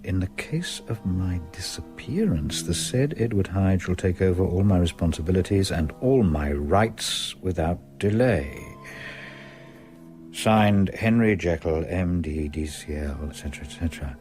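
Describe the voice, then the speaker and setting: An actor playing a measured, grave Victorian gentleman with an English accent in a radio drama, formal, deliberate and quietly probing. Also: low voice, lively intonation, over music. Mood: solemn reading.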